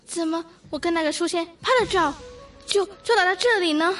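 A woman's voice: very high-pitched, brisk, a bit bright, faint music behind. A woman acting a naive, eager schoolgirl in a radio drama, hesitant and exclaiming as she goes. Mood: confused. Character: naive schoolgirl martial arts fan, eager, easily flustered.

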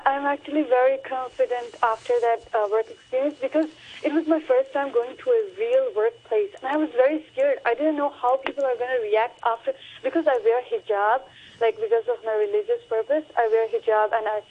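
A woman's voice: on the high side, fairly quick, slightly rough. A young woman, a recent graduate chatting earnestly as a radio guest, with lots of fillers and restarts. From Hong Kong. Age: young adult. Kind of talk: radio interview. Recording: muffled phone line.

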